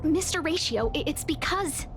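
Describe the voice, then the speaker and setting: Courteous young female game official: gentle, fairly high voice over clear music. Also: expressive, moderate pace. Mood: hesitant, polite. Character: polite, gentle young woman in authority. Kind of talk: scripted video game voice acting.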